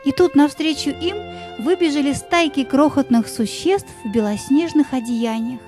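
A woman's voice: high voice, moderate pace, darkish tone, faint music behind. A woman narrator telling a scripted fairy tale as part of an audio play.

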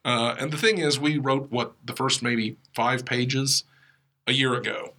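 An American man with a bright, forceful voice at an average pitch co-hosts a podcast. He explains things conversationally, drifting into wry asides. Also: steady tone, a little slow. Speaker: podcast co-host and writer. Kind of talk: casual explanatory chat. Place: podcast studio.

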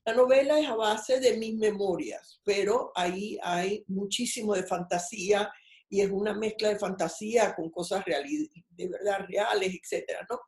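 A woman's voice: mid-range voice, moderate pace, darkish tone, echoey room. A Cuban-born older woman, a guest on a call, telling her life story in a rambling, personal way with many restarts. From Cuba. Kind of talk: casual interview over a call.

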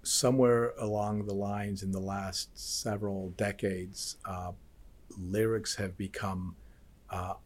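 A man hosting an interview, asking questions in a halting way with many restarts and encouraging murmurs. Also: on the low side, flat delivery. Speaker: host. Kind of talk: conversational interview.

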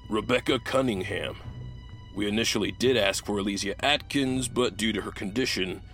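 A man acting as a stern military commander briefing a crew, formal and deliberate, in a sci-fi audio drama. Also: on the low side, fairly bright tone, faint music behind.

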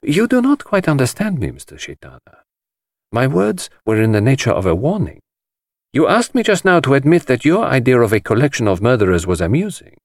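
Grave polite detective, slow n precise, very lilting pitch, audiobook. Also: male voice, wildly swooping pitch, no echo, clean studio sound. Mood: courteous, cautioning. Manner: slow, precise, measured and formal. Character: grave, courteous, shrewd middle-aged male foreign detective. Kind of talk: dramatised audiobook dialogue.

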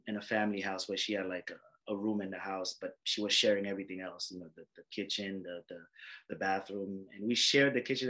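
A lower-pitched man talks through his life story in a relaxed interview. He rambles, circles back and fills pauses with "um" and "you know". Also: rough recording. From Haiti. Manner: rambling, many fillers and restarts. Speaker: emergency medicine doctor, guest. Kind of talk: casual interview, personal story.

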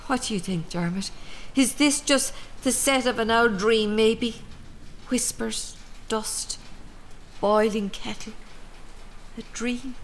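A woman's voice: fairly high pitch, slow pace, pauses often, slight background noise. An actress with an Irish accent playing a stoic, sardonic mother in a radio drama, musing dryly. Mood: dreamy, musing. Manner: musing, repetitive, dry, lilting phrasing.

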